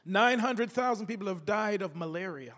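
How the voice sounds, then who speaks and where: A man preaching a sermon to his congregation, his voice gravelly from a cold, fervent and calling out to the listeners. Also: high-pitched, animated, normal speed, a bit bright. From United States. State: has a cold. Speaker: pastor. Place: church sanctuary. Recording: echoey hall reverb.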